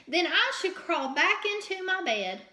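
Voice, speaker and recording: Woman as a sad, high-voiced porcupine. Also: big pitch swings, measured pace, bright, forceful tone, echoey room, exaggerated voice. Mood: sad, resigned. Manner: resigned, snuffling. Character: sleepy, sad male porcupine in a storybook.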